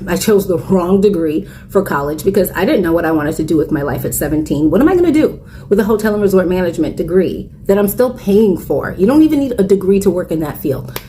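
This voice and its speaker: A woman's voice: fairly low pitch, mellow, a little hiss or hum. A woman in her forties, a content creator, talking candidly and earnestly to camera about her own life. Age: 40s. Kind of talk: personal reflective talk to camera.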